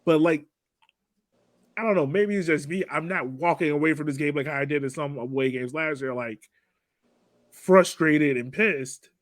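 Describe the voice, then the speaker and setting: A man's voice: on the high side, moderate pace, no echo. A man chatting as a podcast panelist about a soccer game, conceding points and then reasoning out his own view. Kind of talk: casual post-game sports discussion.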